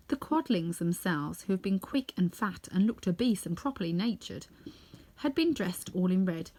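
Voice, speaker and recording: A woman reading a children's story aloud as scripted, expressive narration. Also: mid-range voice, low-quality recording. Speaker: storybook reader.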